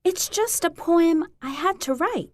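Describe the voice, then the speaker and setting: A woman's voice: very high voice, animated, measured pace, darkish tone. A woman playing a troubled teenage girl in a staged drama, sullen, hesitant and defensive.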